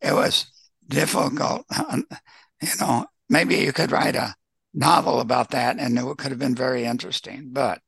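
Man, heavily creaky and rough, bright forceful tone, casual book-club guest host. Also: medium pitch, moderate pace, no echo.

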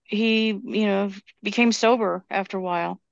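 A woman's voice: mid-range voice, steady tone, moderate pace, gravelly, no echo. Woman chatting casually, dull dry audio. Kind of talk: casual group discussion.

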